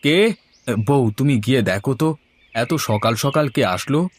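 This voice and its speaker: A man's voice: mid-range voice, lively intonation, unhurried. A man voicing a middle-aged village father in a moral story cartoon, anxious to get his daughter married. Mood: curious.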